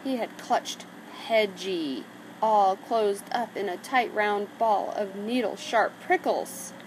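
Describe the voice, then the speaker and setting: A woman reading a children's story aloud in an expressive narrating style. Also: mid-range voice, takes it slowly. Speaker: storybook reader. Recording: clearly noisy background.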